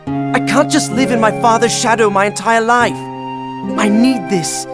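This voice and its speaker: An actor playing an eager, headstrong teenage boy adventurer in an animated game cutscene, earnest, excitable and persuasive. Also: sits high, measured pace. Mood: pleading. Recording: music behind the voice.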